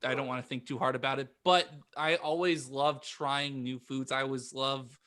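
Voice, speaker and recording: A man's voice: mid-range voice, fairly even intonation, normal speed, bright, forceful tone. A man chatting casually as a panelist on a podcast.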